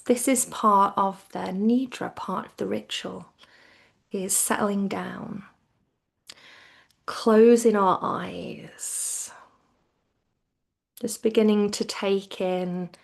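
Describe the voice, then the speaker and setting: A woman teaching yoga nidra as a guided relaxation, soothing and hushed, with phrases that trail toward a whisper. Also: mid-range voice, drawn-out words, vocal fry.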